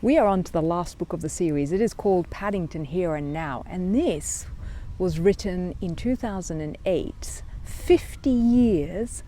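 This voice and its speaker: A woman's voice: on the low side, measured pace, warm tone, slight background noise. A woman storyteller narrating and introducing a children's book.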